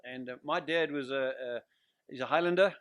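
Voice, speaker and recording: An older man from Central Africa with a southern African accent, a guest pastor giving personal testimony in a measured, hesitant way. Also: medium pitch, fairly bright tone, clear, resonant tone, dry recording, clean studio sound. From Central Africa (Zimbabwe, Zambia region).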